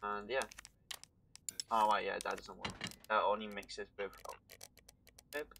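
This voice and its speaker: A man's voice: fairly low pitch, little pitch movement, unhurried, vocal fry, noisy background. A young man with a Welsh accent, rambling casually to his chat while streaming a game from home on a headset. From Wales. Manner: rambling, chatty, talks to chat while playing. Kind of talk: casual live-stream commentary. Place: home room, indoors.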